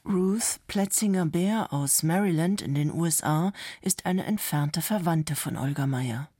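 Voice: mid-range voice, measured pace, noisy background. A narrator reading a scripted documentary report.

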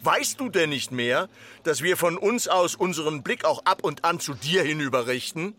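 An actor playing an officious, insistent village mayor in a radio drama, admonishing in a formal, repetitive way. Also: male voice, on the high side, lively intonation, moderate pace, sharp tone. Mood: stern admonishing. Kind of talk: literary radio drama acting.

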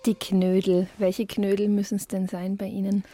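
A woman from Bavaria hosting a radio interview, asking her questions in an engaged, warm way. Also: medium pitch, monotone, normal speed, over music. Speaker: radio host.

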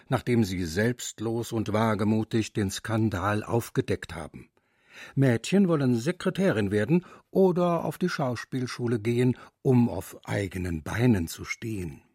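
A man reading the narration of a radio documentary feature in a measured, clear way. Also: mid-range voice, lively intonation, darkish tone, no room sound, studio quality.

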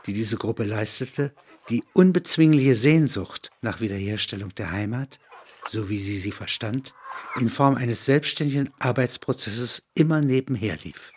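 Male narrator, mid-pitched voice, matter-of-fact literary reading, clear background noise, clicks. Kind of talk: scripted literary narration in an audio drama.

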